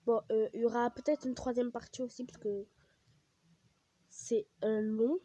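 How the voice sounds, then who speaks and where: A woman's voice: a little high, little pitch movement, measured pace, dark tone, dry recording, muffled recording. A young woman with a cold chats casually and rambles with many fillers while she plays a game. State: has a cold, coughing. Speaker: gaming vlogger.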